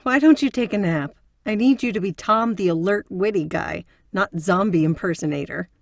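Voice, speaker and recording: A woman's voice: mid-range voice, normal speed, gravelly, no room sound. Actress as a determined, dry-sounding female sleuth. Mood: teasing. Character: sharp, determined woman therapist turned amateur sleuth. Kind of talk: audio drama acting.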